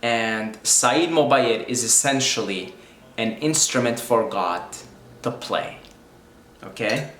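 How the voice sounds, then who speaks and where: A man's voice: medium pitch, slow pace, fairly bright tone, roomy sound, slight background noise. A man from Syria whose first language is Arabic, thinking aloud in a reflective spiritual monologue, repeating his phrases as he goes. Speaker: video creator speaking on spirituality.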